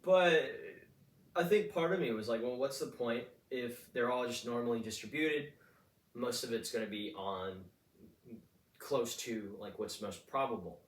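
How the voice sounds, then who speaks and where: A man's voice: mid-range voice, a little slow. A man in his twenties, talking in a rambling casual monologue full of fillers and restarts. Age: 20s. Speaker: vlogger. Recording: roomy echo, distant mic.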